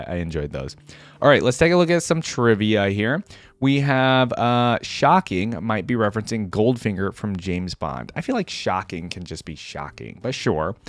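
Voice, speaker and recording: A man with a fairly low voice gives casual, unscripted commentary as a reaction channel host. His delivery is conversational and rambling, often hedging as he goes. The recording is dry and close, with little room sound. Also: no room sound.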